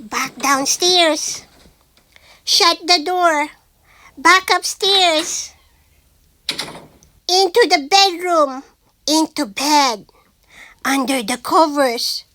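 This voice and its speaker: A woman's voice: high voice, sharp tone. A woman reading a children's picture book aloud in a theatrical, sing-song manner, like a storytelling teacher. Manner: theatrical, slow and deliberate with sing-song repeated phrases. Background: background noise and sound effects.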